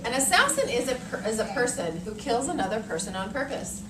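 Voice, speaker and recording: An American schoolteacher in her thirties reads to children in a very animated, fairly high voice. She is deliberate and expressive, explaining in simple words. The recording is heard from far off in a noisy room. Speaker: elementary school teacher. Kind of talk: interactive read-aloud to young children. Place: elementary classroom, indoors. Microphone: far camera. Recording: noisy echoey classroom, distant camera mic.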